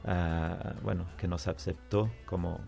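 Deep-voiced Argentine man telling his story. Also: fairly even intonation, a little slow, vocal fry, mellow, faint music behind. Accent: Argentine Spanish. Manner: conversational, with hesitations and repeated words. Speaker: musician guest. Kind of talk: radio interview, personal storytelling.

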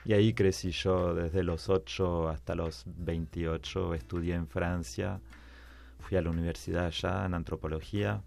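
A man with a very low voice and an Argentine Spanish accent, recalling his past in an easy, conversational way. He stops and repeats words as he searches for them. Faint music plays behind him in a radio studio. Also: vocal fry, a little mellow. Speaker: musician guest. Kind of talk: radio interview, personal storytelling.

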